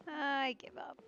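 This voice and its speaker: A woman's voice: sits high, a bit bright. A woman streaming a game, giving short casual reactions as she plays, on a dull lo-fi recording. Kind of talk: casual reactions while playing.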